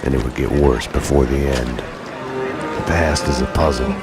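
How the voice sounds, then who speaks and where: An actor playing a haunted, world-weary police detective who narrates a noir game in a brooding, deliberate, poetic way. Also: male voice, extremely low pitch, steady tone. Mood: brooding, regretful. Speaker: narrator and main character. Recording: music behind the voice.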